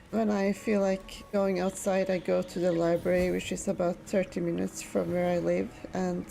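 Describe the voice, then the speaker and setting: A woman's voice: fairly low pitch, vocal fry, dark tone, a little hiss or hum, low-quality recording. A woman with a Norwegian accent chatting casually, rambling with lots of fillers. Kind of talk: casual chat.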